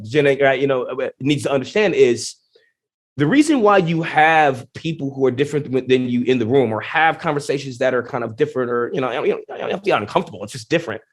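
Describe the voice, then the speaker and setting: A man, a genetics professor, speaking in a podcast interview with emphatic, animated explanations full of rhetorical questions. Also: mid-range voice. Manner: energetic, emphatic, many restarts and rhetorical questions.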